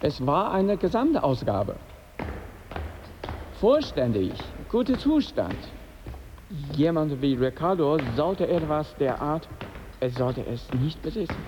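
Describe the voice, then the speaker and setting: A man's voice: on the high side, very animated, takes it slowly, darkish tone, muffled recording, a little hiss or hum. An actor playing an earnest, reproachful male friend in a radio drama, reasoning insistently.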